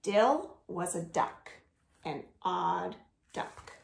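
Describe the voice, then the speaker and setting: A woman's voice: on the low side, animated, vocal fry. A woman hosting storytime for young children indoors at home, asking simple questions as she reads aloud. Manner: slow, simple questions addressed to small children. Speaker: children's library storytime host. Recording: echoey room.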